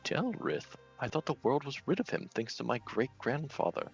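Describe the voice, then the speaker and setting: Man playing a blustering old knight, gravelly. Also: mid-range voice, sing-song, normal speed, dull audio, faint music behind. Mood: surprised. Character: aging, bumbling, sceptical royal knight.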